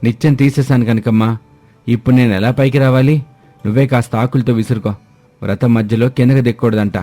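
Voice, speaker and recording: A man's voice: medium pitch, fairly even intonation, brisk, warm tone, soft background music, close to an everyday voice. A man voicing village husbands and schemers in a moral story, with a colloquial, teasing manner. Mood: excusing. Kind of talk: moral story character acting.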